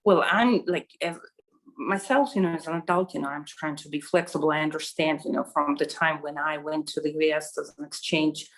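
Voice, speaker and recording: Middle-aged woman, lowish voice, lots of ums and you knows. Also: fairly even intonation, a little fast, poor audio. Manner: hesitant, many fillers and restarts. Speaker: interviewee. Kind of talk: conversational interview answer.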